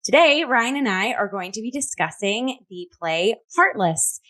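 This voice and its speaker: A woman's voice: mid-range voice, animated, a little slow, a bit bright. Upbeat, chatty woman hosting. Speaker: host of a performing arts review show. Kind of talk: conversational review show hosting.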